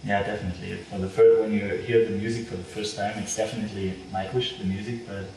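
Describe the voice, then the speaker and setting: Male interviewer, German accent, lowish voice, hesitant phrasing. Heavily roomy sound with faint noise. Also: flat delivery, quick pace, darkish tone. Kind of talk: casual interview questions. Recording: very echoey room, distant mic, faint noise.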